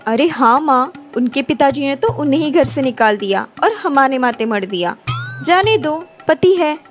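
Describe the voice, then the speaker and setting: A woman's voice: sits high, lively intonation, moderate pace, over music. A woman voicing a lazy, short-tempered young married daughter in a cartoon, whiny and complaining. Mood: taunting.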